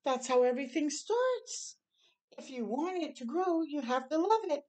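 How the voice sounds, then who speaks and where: A woman's voice: sits high, expressive, moderate pace, a little mellow, pitched above the performer's own voice. A woman voicing an imaginative, eager and slightly bossy little girl in a children's storybook, with an emphatic manner. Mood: encouraging. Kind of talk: children's storybook read-aloud.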